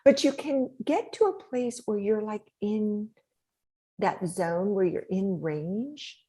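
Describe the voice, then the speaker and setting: A middle-aged woman with a soft voice, talking as a podcast guest in an animated, coaching way, laughing often. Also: mid-range voice, slow, breathy edge. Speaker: guest, author and speaker. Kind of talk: podcast interview talk.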